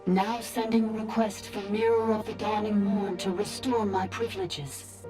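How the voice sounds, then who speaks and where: A woman's voice: mid-range voice, steady tone, measured pace, natural voice. A woman voicing a cryptic ancient automaton in a video game, solemn and single-minded, in formal machine-like statements. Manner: formal, declarative machine phrasing. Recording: loud music under the voice.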